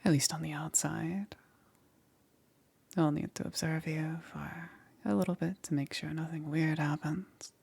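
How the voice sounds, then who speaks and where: Casting: a woman plays soft-spoken witch necromancer for ASMR roleplay; gravelly fry, husky half-whisper, calm and eerily gentle. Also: low-pitched, heavily acted. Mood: calm, musing. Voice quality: breathy, often dropping into whisper. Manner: unhurried, halting with pauses, soothing.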